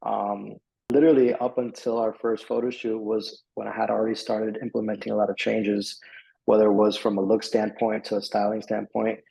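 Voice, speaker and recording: A man's voice: fairly low pitch, little pitch movement, fairly quick, gravelly, dark tone, rough recording. A young man from Miami telling his story as a client in a casual interview. He rambles a little, with lots of fillers and restarts. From Miami, USA. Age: young adult. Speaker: client giving a testimonial.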